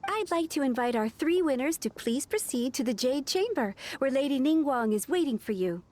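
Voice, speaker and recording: A woman's voice: sits high, animated, moderate pace, a little mellow. A woman voicing a formal official announcing contest winners in a video game. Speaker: event announcer.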